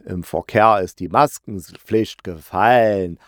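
A man's voice: deep voice, takes it slowly, dry recording. Chatty male podcast host, dry close sound. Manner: jokey, playful, self-correcting. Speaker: podcast host and audio drama reviewer. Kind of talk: casual, chatty solo review.

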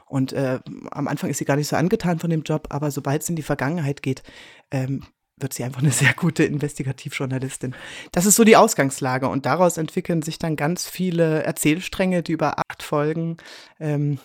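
A woman's voice: low voice, fairly quick, no room sound. Woman drama director chatting in an interview, informal, dry close sound. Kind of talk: interview answers, casual storytelling.

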